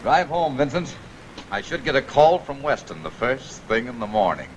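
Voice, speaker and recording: An actor playing a suave, confident American scientist and criminologist in a 1940s film serial, brisk and self-assured. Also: male voice, mid-range voice, sing-song, lightly acted. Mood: crisp. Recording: faintly noisy 1940s film soundtrack.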